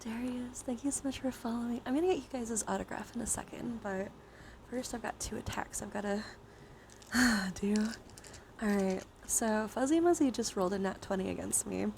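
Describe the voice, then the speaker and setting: A woman's voice: a little high, moderate pace, creaky, background noise. A woman hosting a livestream, chatting casually with viewers in a playful, giggly way and swearing freely. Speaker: livestream host. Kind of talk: casual livestream chat with viewers during a dice game.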